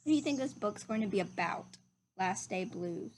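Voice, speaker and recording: A woman's voice: mid-range voice, animated, normal speed, slight background noise. A woman reading a picture book aloud to young children like a teacher, speaking to them directly in simple phrases.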